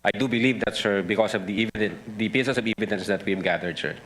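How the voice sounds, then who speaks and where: A man with a Filipino accent giving formal testimony as an investigator, halting, deferential and careful to avoid direct answers. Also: on the low side, fairly bright tone. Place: hearing room. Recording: noisy hearing-room ambience.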